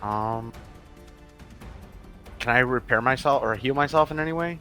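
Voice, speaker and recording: A man's voice: medium pitch, moderate pace, sharp tone, soft background music. A man playing a tabletop roleplaying game, chatting casually and asking questions in a conversational way.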